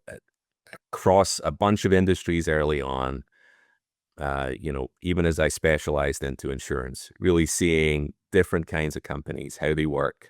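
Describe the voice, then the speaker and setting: A man's voice: very deep voice, clear, resonant tone, no room sound, clean studio sound. A Scottish man in his fifties, an audit partner speaking as an interview guest, thoughtful and loose, with many fillers and restarts. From Scotland. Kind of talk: casual interview talk.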